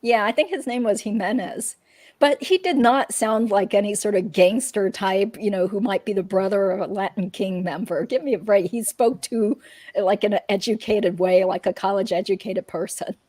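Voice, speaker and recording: A woman's voice: mid-range voice, normal speed, darkish tone, no room sound. Chatty woman hosting a livestream, dry close sound. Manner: chatty, informal. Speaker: livestream host. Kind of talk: casual chat, true-crime commentary.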